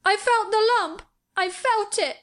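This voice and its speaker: A woman's voice: very high-pitched, a bit bright, pitched above the performer's own voice. A woman voicing a hysterical, sickly young boy in a storybook, gasping and crying as the words come out. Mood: despairing, tearful. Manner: gasping, halting, sobbing.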